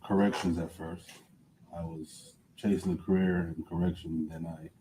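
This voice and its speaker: A man in his thirties from Connecticut with a slight Jamaican accent, testifying as a witness in short, quiet answers. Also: sits low, little pitch movement, normal speed, background noise. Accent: slight Jamaican on some words. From Connecticut, USA. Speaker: witness, former police officer. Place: courtroom witness stand.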